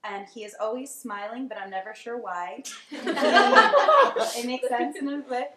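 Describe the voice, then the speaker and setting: A woman's voice: a little high, very animated, a little slow, a loud crowd. New York woman, casual sharing, hesitant, laughing, roomy echo. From Staten Island, New York. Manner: laughing, hesitant, many ums. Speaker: workshop participant. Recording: echoey room.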